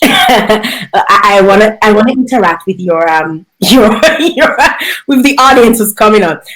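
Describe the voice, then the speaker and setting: A woman's voice: medium pitch, animated, a little slow, a bit bright, low-quality recording. A woman from Dominica with a Dominican accent, hosting a live interview, giggly and reading viewer comments aloud. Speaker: interview host.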